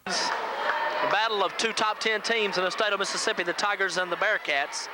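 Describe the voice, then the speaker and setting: Male local-radio sportscaster, high voice, terse basketball play-by-play, noisy old broadcast tape. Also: moderate pace. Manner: clipped short phrases, excited on big plays. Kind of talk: live basketball play-by-play commentary. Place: high school gym. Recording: noisy 1980s local FM radio audio from VHS. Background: loud crowd, bouncing ball, gym noise.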